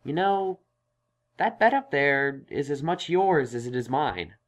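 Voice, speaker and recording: Gentle, awkward young stallion scholar played by a man. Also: a little high, lively intonation. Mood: friendly, insistent. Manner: halting, reassuring, self-conscious. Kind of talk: audio drama fan fiction reading.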